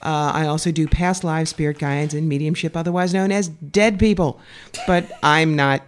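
A woman's voice: low-pitched, fairly even intonation, moderate pace, a little hiss or hum. A woman psychic guest on a radio show, talking in a wry, blunt, joking way. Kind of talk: casual radio chat. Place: radio studio.

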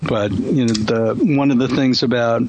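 A man's voice: medium pitch, steady tone, moderate pace, darkish tone, slight background noise, rough recording. A man hosting a casual discussion, speaking thoughtfully with frequent ums and you-knows. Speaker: host.

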